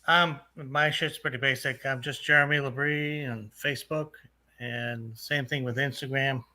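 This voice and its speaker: A man's voice: mid-range voice, fairly even intonation, moderate pace, sharp tone. A man chatting casually and informally as a podcast guest. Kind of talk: casual chat.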